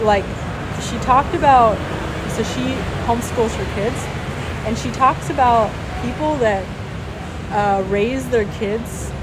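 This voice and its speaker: A young woman whose first language is Farsi, musing aloud in a rambling, reflective vlog on a café rooftop, recorded close on a phone. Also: mid-range voice. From United States. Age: young adult. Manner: rambling, reflective, many fillers and restarts. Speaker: vlogger. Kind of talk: casual stream-of-consciousness monologue. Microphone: phone camera held low, close. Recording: loud background noise, air conditioning and traffic.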